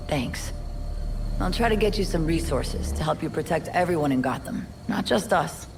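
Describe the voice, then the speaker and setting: Gravelly, low-voiced weary female cop. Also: expressive, normal speed, noisy background. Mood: earnest, frustrated. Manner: dry, streetwise, plain-spoken. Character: weary, world-worn female police officer, wry and loyal. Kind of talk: video game cutscene acting. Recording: music behind the voice. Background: music.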